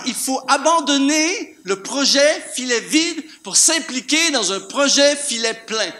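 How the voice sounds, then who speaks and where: A man with a Canadian French accent preaching an animated sermon to a church congregation, firing off rhetorical questions and repeating words for emphasis. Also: extremely high pitch. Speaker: guest preacher. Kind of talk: lively sermon.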